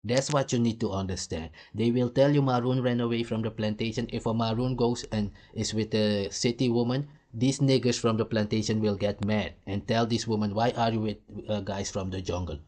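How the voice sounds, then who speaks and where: A man speaks in a fairly low voice, delivering a heated reaction rant. His talk is blunt and profane, and he hammers his points home. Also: steady tone, brisk, hardly any pauses, darkish tone. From Suriname. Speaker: commentary host. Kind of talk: ranting reaction commentary.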